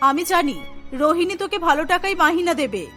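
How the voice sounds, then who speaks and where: A woman's voice: extremely high pitch, animated, quick pace, bright, forceful tone, soft background music, heavily acted. A woman voicing a kindly older neighbour who reassures and advises a younger woman. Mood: reassuring. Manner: soothing, advising.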